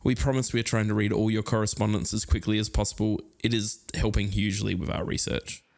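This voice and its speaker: A man's voice: fairly low pitch, flat delivery, fast talker, gravelly, darkish tone. A man playing an eager podcast co-host who investigates a mystery he believes in. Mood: informative, intrigued.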